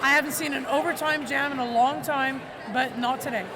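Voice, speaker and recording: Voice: high voice, steady tone, brisk. A commentator calling a roller derby game, enthusiastic and exclaiming. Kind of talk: live sports commentary. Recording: loud crowd noise. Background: cheering crowd.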